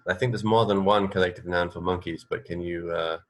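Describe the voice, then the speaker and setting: Man, low voice, radio co-host, casual trivia chat, halting, thinking aloud. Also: steady tone.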